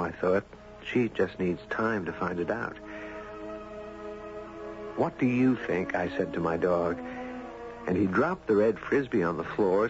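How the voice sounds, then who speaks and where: An actor playing a gentle, reflective man in a 1970s radio drama, speaking tenderly and musingly. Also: deep voice. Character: gentle, reflective man narrating his own tale, Ben. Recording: dull 1970s radio broadcast.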